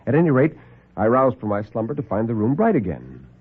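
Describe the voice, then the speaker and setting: A man narrating a first-person horror tale as a classic radio storyteller, theatrical and suspenseful, with deliberate pauses. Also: mid-range voice, wildly swooping pitch, slightly rough. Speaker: storyteller and actor. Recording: muffled old radio broadcast recording.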